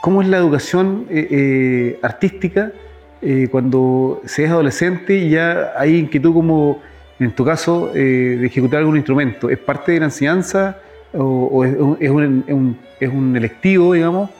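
A man's voice: on the high side, moderate pace, a little mellow, highs cut off, soft background music. A man hosting an interview, asking long questions with frequent hesitations and restarts. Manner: hesitant, many "eh" fillers and restarts. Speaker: interview host.

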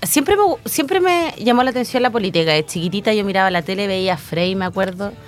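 A woman in her thirties with a Chilean accent, a guest talking through her story in a relaxed interview, pausing often with fillers. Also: medium pitch. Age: 30s. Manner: reflective, frequent hesitation fillers. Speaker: guest, newly elected council member. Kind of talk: casual interview conversation.

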